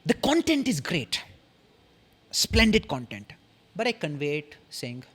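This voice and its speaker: Husky-voiced Indian English man explaining. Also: on the high side, sing-song, fairly bright tone. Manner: articulate, measured, pauses often between sentences. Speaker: podcast guest, communication strategist. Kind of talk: casual explanatory interview talk.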